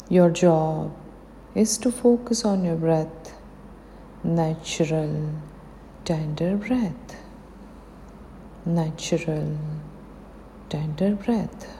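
A woman's voice: fairly low pitch, drawn-out words, long pauses, mellow. A woman with an Indian accent leading a guided meditation, giving soothing instructions in short phrases. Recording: long hall reverb, faint background noise.

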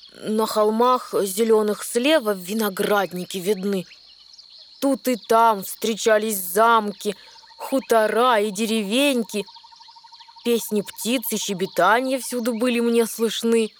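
A woman's voice: fairly high pitch, slight background noise. A woman playing a young mermaid princess, reciting lyrical verse in an audio fairy tale. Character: young mermaid princess sisters recounting sea wonders. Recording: music under the voice.

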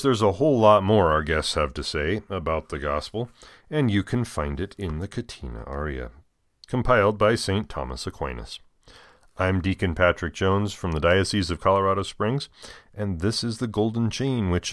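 An American man serving as a deacon, reading scripture and adding folksy, deliberate commentary. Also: sits low, no echo, clean studio sound. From United States.

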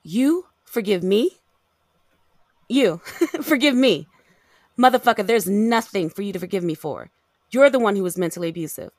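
An actress plays a fed-up, defiant American woman in an audio drama. Her mid-pitched voice is highly animated, swinging widely with blunt emphasis. The sound is close and dry. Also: moderate pace, long pauses, dry recording, close to an everyday voice. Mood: incredulous, indignant. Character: fed-up, fiercely defiant young woman facing abusive ex.

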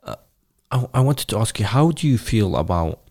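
A very low-voiced man with a gravelly edge asks questions in a loose, conversational way. He often stops and restarts his sentences, circling around his point before landing on it. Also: sing-song, warm tone. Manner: halting, many restarts and fillers. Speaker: interviewer. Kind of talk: casual interview.

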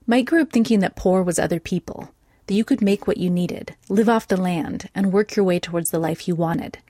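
Woman, lowish voice, dry close studio sound, measured documentary narration. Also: mellow, no echo. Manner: measured and clear.

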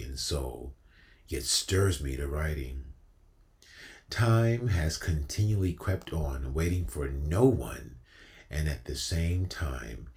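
A man with an exceptionally deep voice shares reflective, inspirational words. He takes his time, speaking slowly and earnestly. His delivery is that of a spiritual storyteller, meant to encourage. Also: darkish tone. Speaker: featured spoken-word storyteller on a broadcast. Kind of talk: reflective inspirational talk and spoken-word poetry.

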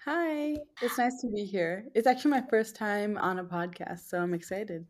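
A woman's voice: mid-range voice, moderate pace. Young woman with a nasal voice, chatting casually. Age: 20s. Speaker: podcast guest, food blogger. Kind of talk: casual conversational interview.

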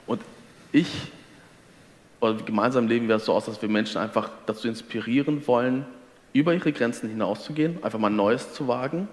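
A man's voice: medium pitch, fairly quick, a little mellow. Earnest German man in a reverberant room. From Ostwestfalen, Germany. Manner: earnest, teacherly, quotes scripture. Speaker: guest. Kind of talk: faith conversation.

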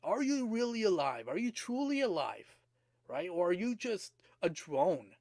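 Slightly hoarse male podcast host, high-ish voice, dry close sound, earnest and questioning. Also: big pitch swings, unhurried, darkish tone, no room sound. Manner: earnest, repeats rhetorical questions to the listener. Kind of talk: motivational podcast monologue.

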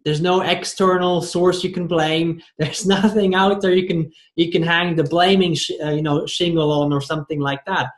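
The host of an interview podcast in an echoey room, a man with a slightly high voice who circles and restarts his way toward each question. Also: fairly even intonation, moderate pace, hardly any pauses. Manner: rambling, stumbles and repeats words. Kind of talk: conversational interview. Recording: roomy, echoey sound.

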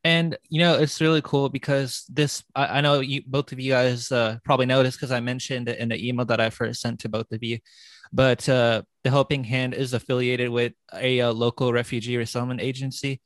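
A relaxed male podcast host chats loosely, with plenty of "like" and "you know" and frequent laughs. His voice sits at a middling pitch. The sound is dry and close. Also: brisk, no echo. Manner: casual, filler-heavy, laughs often. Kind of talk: casual interview chat.

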